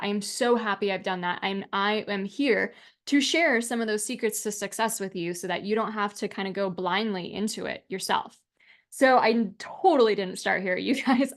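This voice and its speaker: A woman's voice: mid-range voice, a bit bright. A woman in her thirties from the United States, teaching an online class as a coach in a chatty, upbeat way, with lots of "like" and "right?". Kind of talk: casual spoken class presentation over slides. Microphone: webcam and desk mic on a video call.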